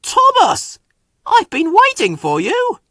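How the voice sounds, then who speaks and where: Man voicing a timid, high-pitched friendly tram engine. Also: wildly swooping pitch, takes it slowly, pushed and bright, no room sound, clean studio sound, heavily acted. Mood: surprised. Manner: gasping, huffing exclamations.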